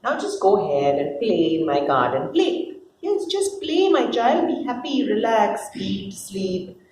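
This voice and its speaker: Woman playing female God, doting creator, chatty, instructing, lively pitch, echoey room, dramatic stage reading. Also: natural voice. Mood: indulgent. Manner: casual, instructing, fussing with interjections. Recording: roomy, distant mic, faint noise.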